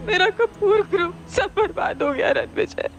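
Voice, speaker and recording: A woman's voice: extremely high pitch, normal speed, over music. An actress playing a despairing young businesswoman in an audio drama. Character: distraught young businesswoman wife, ruined and despairing.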